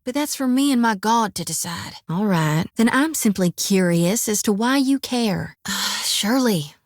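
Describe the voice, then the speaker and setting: A woman's voice: medium pitch, lively intonation, measured pace, no echo, studio quality, lightly acted. An actress voicing an earnest, devout young farm-raised woman priest, folksy and stubborn. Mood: resolute. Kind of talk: video game voice acting. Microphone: dry studio mic.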